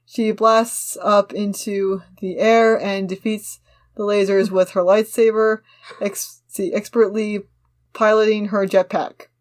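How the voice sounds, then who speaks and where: Woman podcast cohost, normal pitch, opinionated, kinda argues her points while reading stuff out and commenting. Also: flat delivery, takes it slowly. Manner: opinionated, argumentative. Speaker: podcast co-host. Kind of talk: casual discussion, reading a script aloud with commentary.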